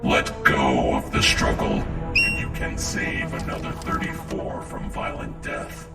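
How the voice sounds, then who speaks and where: A man's voice: a bass voice, huge pitch swings, unhurried, vocal fry, full character voice. An actor playing a sadistic, taunting killer in a video game, drawing out his words with menace and gloating. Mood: menacing, persuasive. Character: sadistic, taunting male pyrokinetic serial killer villain. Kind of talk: dramatic game villain acting. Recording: echoing, distant, under loud music.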